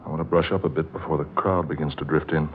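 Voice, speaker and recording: An actor playing a breezy, doting young fiancé in an old-time radio drama, exclamatory, incredulous and reassuring. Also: male voice, a bass voice, fairly even intonation, quick pace. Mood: cheerful. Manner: exclamatory, dismissive, affectionate delivery. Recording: tinny, noisy old radio recording.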